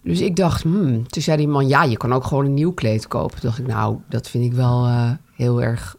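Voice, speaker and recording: A woman's voice: extremely low pitch, steady tone, moderate pace, gravelly, a little mellow. A woman co-hosting a podcast, telling a story in casual chat with many fillers and restarts. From Netherlands. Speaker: podcast co-host.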